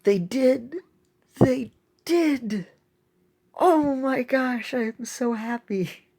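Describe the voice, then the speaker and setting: A woman giving casual, excitable gameplay commentary as a video host, thinking aloud as she plays. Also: sits high, extremely slow. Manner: excitable, exclaiming often; thinks aloud while playing.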